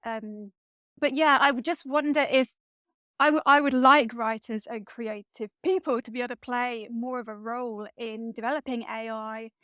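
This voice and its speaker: A woman's voice: on the high side, fairly even intonation, normal speed, sharp tone, no echo, clean studio sound. A woman being interviewed as a guest author, thinking aloud conversationally, restarting and restating phrases as she reasons.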